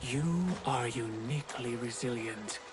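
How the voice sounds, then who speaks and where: A man as a slow, grandiose mysterious tempter. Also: medium pitch, close to an everyday voice. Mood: grand, tempting. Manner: deliberate, grandiose, persuasive. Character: mysterious scheming tempter promising power, grandiose and calm. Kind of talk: dramatic video game acting. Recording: loud music under the voice.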